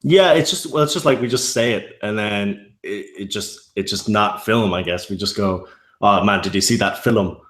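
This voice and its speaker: A man's voice: lowish voice, moderate pace, a bit bright, reverberant. A man from Ireland with a mild Irish accent, chatting casually as an interview guest, rambling with many restarts. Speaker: YouTube narrator guest.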